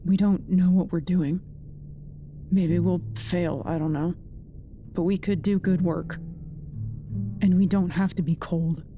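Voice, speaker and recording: A woman acting in an audio drama as a blunt, guarded, quietly caring colleague, speaking plainly and earnestly. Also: on the low side, fairly even intonation, unhurried, pauses often, soft background music, natural voice. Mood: earnest, urging.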